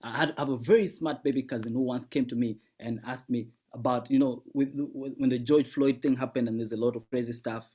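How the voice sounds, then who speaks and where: A man's voice: mid-range voice, steady tone, fairly quick, hardly any pauses, dark tone, slightly rough. A man from Sudan, doing a laid-back stand-up set from home over a video call, repeating words and chuckling through his jokes. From South Sudan. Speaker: guest comedian. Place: home at night.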